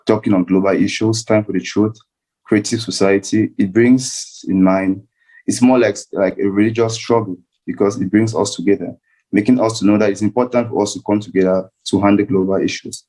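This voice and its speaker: A man's voice: on the low side, fairly even intonation, brisk, warm tone, poor audio. A young man with a Cameroonian accent giving remarks as a guest speaker on a discussion panel. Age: young adult. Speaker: guest speaker, student climate activist. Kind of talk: prepared remarks on a video panel.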